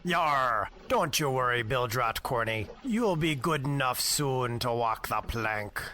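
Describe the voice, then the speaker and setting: A gruff, scheming first mate of a pirate crew, acted by a man, speaks in a hearty pirate accent full of slang. It is amateur audio drama with faint noise behind the voice. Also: mid-range voice, lively intonation, takes it slowly, bright, forceful tone. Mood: hearty, sly. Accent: put-on pirate.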